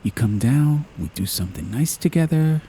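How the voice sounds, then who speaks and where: A man playing a brash, dorky young New York greaser who charms loudly, in an audiobook story. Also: mid-range voice, animated, normal speed, slight background noise, close to an everyday voice. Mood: coaxing.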